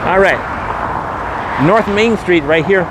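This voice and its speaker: Higher-voiced man vlogging over loud street noise. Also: takes it slowly. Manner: repetitive, promotional catchphrases. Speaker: vlogger and host. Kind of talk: walking vlog narration. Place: outdoors on a street, walking. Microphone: handheld camera. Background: traffic and vehicle noise.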